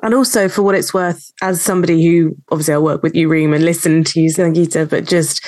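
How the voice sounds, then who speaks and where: A woman hosting a podcast speaks in a slightly low voice, asking questions in a friendly, encouraging way. The sound is dry and close-miked. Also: steady tone, quick pace, darkish tone, dry recording. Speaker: podcast host. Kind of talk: casual podcast conversation.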